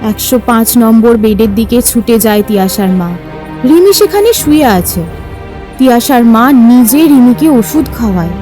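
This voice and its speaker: Woman story narrator, highish voice, scripted audio tale w music under it. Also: expressive, moderate pace, warm tone.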